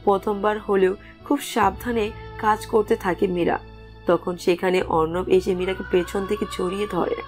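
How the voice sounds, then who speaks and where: A woman's voice: mid-range voice, fairly even intonation, normal speed, a little mellow. A woman reading scripted narration for a romantic story as its narrator. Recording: music under the voice.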